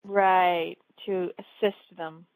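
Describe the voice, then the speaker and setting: A woman's voice: on the low side, flat delivery, drawn-out words, smooth, no echo, poor audio. A woman playing an earnest, plucky young female alien in a sci-fi game audio drama, a little unsure of herself. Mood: wary, hesitant. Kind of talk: fan audio drama, game-story character acting.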